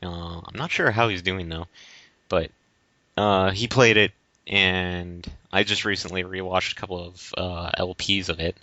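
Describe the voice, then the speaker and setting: A man's voice: low-pitched, steady tone, measured pace, bright, forceful tone, dry recording. A man co-hosting a podcast in casual discussion, hesitantly searching for words and repeating phrases. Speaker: podcast co-host. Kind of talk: casual discussion of video games. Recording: dry but dull lo-fi recording.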